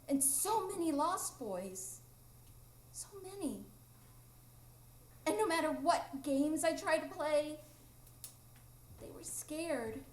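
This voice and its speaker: Lady doing a cocky but haunted boy adventurer, high voice, slow talker, live radio play. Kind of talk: live radio drama acting. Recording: echoey live theatre room.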